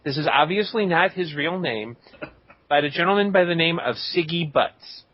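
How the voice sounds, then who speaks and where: Guy hosting a podcast, jokey casual chat, highish voice, kinda dull lo-fi audio. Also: expressive, moderate pace. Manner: joking, tongue-in-cheek. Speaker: podcast host. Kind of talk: casual chat reading out listener shout-outs. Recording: dull lo-fi voice recording.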